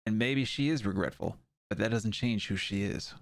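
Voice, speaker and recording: A man's voice: on the low side, moderate pace, no room sound, close to an everyday voice. A man playing a frank, easygoing, lovelorn doctor in a sci-fi audio drama.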